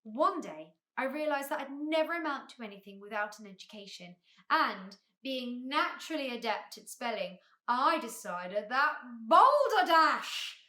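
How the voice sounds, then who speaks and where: A storybook spelling bee, played by a woman reading aloud, male, fussy and proud of his learning. The voice is high and bright, with an excitable, prickly manner. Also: normal speed. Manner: fussy, spells words out letter by letter.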